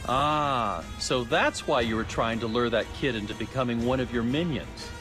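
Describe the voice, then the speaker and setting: A man's voice: medium pitch, moderate pace, natural voice. A man playing a sly, inquisitive scientist in a video game, with a wry, needling, theatrical way of talking. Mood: teasing, probing. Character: sly, inquisitive male scientist, teasing and knowing. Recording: background music under the voice.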